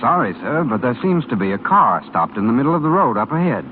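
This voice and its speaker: An actor playing a proper, deferential butler in a 1930s radio drama. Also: male voice, mid-range voice, big pitch swings, quick pace, natural voice. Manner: formal, deferential. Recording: noisy, tinny 1930s radio broadcast recording.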